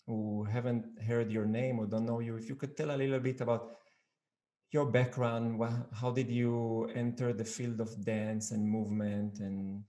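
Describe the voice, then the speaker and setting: A man hosting a conversational interview, speaking haltingly and repeating words as he searches for phrasing. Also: mid-range voice, flat delivery, warm tone. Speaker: podcast host.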